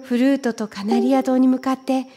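A woman's voice: high voice, steady tone, a little fast, music in the background. A woman reading a children's story aloud as scripted narration. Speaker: storybook reader.

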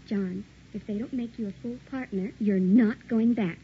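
A woman's voice: mid-range voice, moderate pace, breathy edge. A woman playing a devoted, anxious, emotional wife in an early 1960s radio drama. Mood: cheerful, doting. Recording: muffled, hissy old radio recording.

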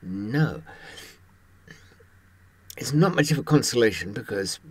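A man in his seventies giving a rambling monologue to camera with wry, dark humour, often hesitating as he searches for words, in a husky voice. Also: mid-range voice, animated, slow pace. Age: 70s. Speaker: host recounting experiences from a criminal past.

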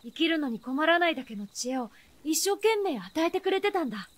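Very high, feisty tomboyish child. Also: female voice, very animated, fairly quick. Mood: frank, reflective. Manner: blunt, boyish. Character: feisty, carefree young child with rough boyish speech. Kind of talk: Japanese radio drama acting.

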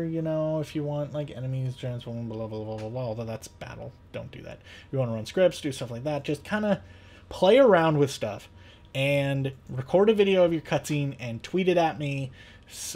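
A young adult man hosting a casual software tutorial, thinking aloud in an informal, playful, rambling way. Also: medium pitch. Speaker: tutorial host. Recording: clear background noise.

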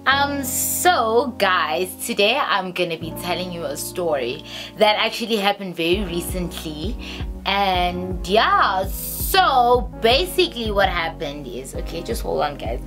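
A young South African woman talks in a casual, chatty storytime style. She moves in a halting way from phrase to phrase, as if talking to a friend, with music playing behind her. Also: lowish voice, very animated. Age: 20s. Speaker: storytime vlogger. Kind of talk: casual storytelling to camera, reading parts aloud from a phone. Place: small bedroom, indoors. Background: background music.

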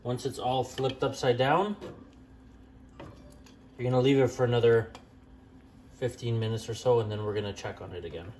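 A young man hosting a home cooking channel, talking through a recipe step by step in a relaxed, plain-spoken way with small fillers and self-corrections. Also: mid-range voice, moderate pace, long pauses, a little hiss or hum. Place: home kitchen at the stove.

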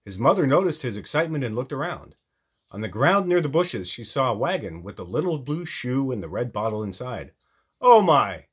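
A man narrates a children's storybook. His mid-pitched voice is husky and moves in animated rises and falls, as a storytime reader. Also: fairly quick.

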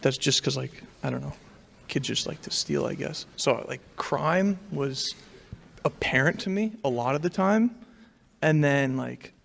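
A man's voice: medium pitch, lively intonation, a little slow, creaky, fairly bright tone. A young man from New York, a student, talking casually into a handheld mic in a lecture hall and rambling with many fillers. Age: young adult. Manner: rambling, heavy filler use. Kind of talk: casual class discussion.

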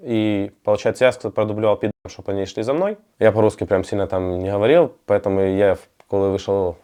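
Soldier, man, low dark voice, brisk telling. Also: fairly even intonation, smooth. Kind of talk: interview recollection.

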